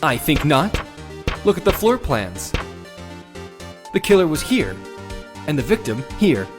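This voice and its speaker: A man's voice: a little high, big pitch swings, a little slow. A man playing an earnest, sardonic young defense lawyer in a video game courtroom drama, with emphatic delivery. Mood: accusing, confident. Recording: music behind the voice.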